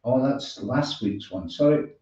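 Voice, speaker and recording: Mellow male host in an echoey room. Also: medium pitch, lively intonation, unhurried. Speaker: music show host. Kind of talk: casual live online show hosting. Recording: muffled, echoey, far from mic.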